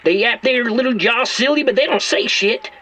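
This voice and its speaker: A man's voice: very high voice, moderate pace, sharp tone, heavily acted. An actor playing a foul-mouthed, volatile hostage-taker in his forties in an audio drama, ranting in a folksy way over a telephone. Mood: agitated grumbling. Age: 40s. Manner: ranting, folksy, profane, repeats himself. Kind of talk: naturalistic audio drama acting. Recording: dull lo-fi telephone line.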